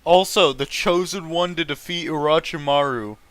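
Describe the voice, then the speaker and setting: A man's voice: a little high, normal speed, fairly bright tone, clear, resonant tone, dry recording. A man casually reading fan fiction aloud and mocking it with sarcastic, sweary, amused commentary.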